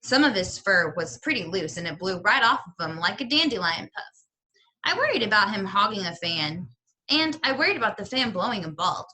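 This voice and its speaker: A woman reading a children's novel aloud like a teacher, with animated, expressive storytelling. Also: medium pitch, rough recording. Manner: animated storytelling with pauses to question listeners.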